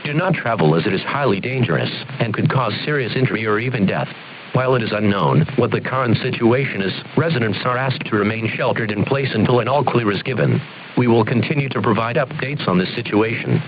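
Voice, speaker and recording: Male alert reader, formal script, brisk pace, lo-fi hissy radio broadcast. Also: medium pitch, animated. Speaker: emergency alert announcer. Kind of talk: formal scripted emergency bulletin. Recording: dull, hissy weather radio sound.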